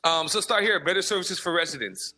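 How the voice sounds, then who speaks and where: A man's voice: high voice, animated, fairly quick, bright, forceful tone. A man hosting a morning radio show, playful and hyping, joking with his guest. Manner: playful, hyping, laughing banter. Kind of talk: casual hip-hop radio chat. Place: radio studio.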